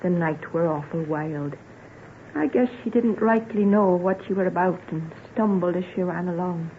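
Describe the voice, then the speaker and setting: A woman's voice: fairly low pitch, moderate pace, natural voice. An actress playing a plain-spoken, sorrowful woman in an old-time radio drama. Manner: earnest rustic dialect storytelling. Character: plain-spoken sorrowful country woman, devoted to her family. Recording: tinny, noisy old radio recording.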